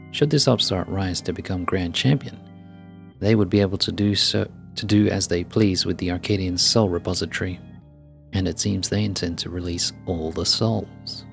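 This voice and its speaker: Grandiose combat-show presenter for a game, performed by a man: low, formal, deliberate, faint music underneath. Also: gravelly. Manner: florid, formal, deliberate announcing. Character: grandiose, theatrical fight show presenter addressing combat fans. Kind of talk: scripted game dialogue read aloud.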